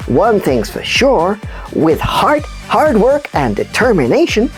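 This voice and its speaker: A woman plays an excitable puppet news host in a children's comedy show. The delivery is emphatic and very lively in pitch, yet unhurried. Faint music sits behind the voice. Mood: enthusiastic. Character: excitable, chatty big-snouted puppet news anchor.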